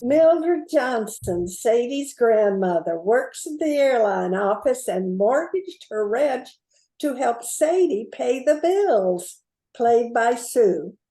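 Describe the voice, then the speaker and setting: A woman's voice: a little high, animated, takes it slowly, mellow, echoey room, rough recording. A woman performing in an amateur radio play, reading her part from a script.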